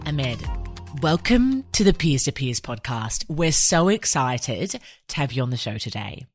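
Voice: mid-range voice, sing-song, measured pace, faint music behind. A young adult podcast host reading an upbeat scripted intro and asking effusive, enthusiastic questions.

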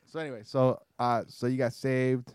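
A man's voice: medium pitch, level tone, moderate pace, darkish tone, clean tone, dry recording, clean studio sound. A man in his forties co-hosting a podcast, chatting casually in a rambling, joking way. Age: 40s. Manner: rambling, joking, many restarts. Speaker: podcast co-host.

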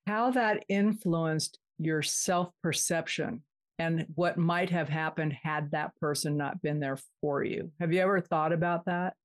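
A woman's voice: low-pitched, measured pace. A woman interviewing in a casual, empathetic way, reflecting back what she hears. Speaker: interviewer, host.

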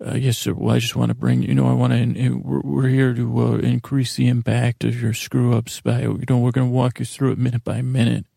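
Man acting a polite, gravelly meditation guide, quietly relentless. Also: medium pitch, little pitch movement, a little fast, few pauses, no room sound. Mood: politely insistent. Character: polite, smug guided meditator who shames at bedtime. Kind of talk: acted dialogue in a comic bedtime monologue.

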